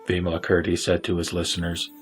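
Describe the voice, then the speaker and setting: A man reciting a Buddhist scripture as a formal, measured narrator over background music. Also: low-pitched, completely flat delivery.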